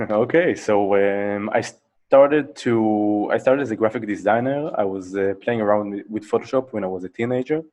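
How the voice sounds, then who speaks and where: A man, a Hebrew speaker, answering as a guest in a casual interview, talking in halting bursts with many fillers and restarts. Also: on the low side, a little mellow. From Israel.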